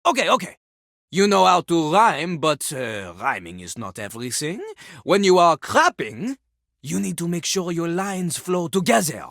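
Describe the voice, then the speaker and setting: A woman's voice: fairly low pitch, sing-song, moderate pace, gravelly, a bit bright, dry recording, clean studio sound, heavily acted. A woman voicing a cocky male talking toilet who fancies himself a rapper, with a French accent and a theatrical, pompous manner in a video game. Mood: haughty, flustered. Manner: pompous, theatrical, refers to himself in third person. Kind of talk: animated video game character acting.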